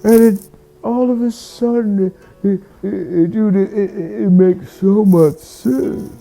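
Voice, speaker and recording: A person telling a reflective bedtime story in a slow, halting, musing way, often repeating words. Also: medium pitch, steady tone, gravelly, warm tone, soft background music.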